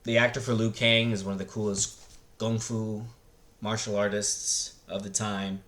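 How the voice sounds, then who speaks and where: A man in his thirties hosting a casual, rambling review in a halting, searching way, with laid-back slangy enthusiasm. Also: lowish voice, flat delivery, fairly bright tone. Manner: hesitant, halting, searching for words. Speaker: video host reviewing films. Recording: roomy, echoey sound.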